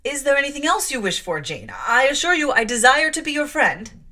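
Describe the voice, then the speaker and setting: A woman's voice: on the high side, huge pitch swings, normal speed, pushed and bright, voice pushed up, full character voice. A woman reading aloud and voicing a stern, middle-aged aunt who reproves a child in a condescending, reproving manner. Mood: anxious placating.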